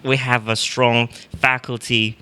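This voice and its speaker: A man's voice: medium pitch, flat delivery, measured pace, sharp tone, clean tone. A man from Taiwan, a professor guest on a casual podcast, talking with many fillers and restarts.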